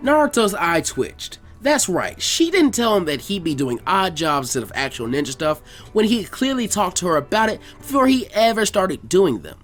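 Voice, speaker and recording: A man reading fan fiction aloud as an expressive scripted story narration. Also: high voice, moderate pace, soft background music.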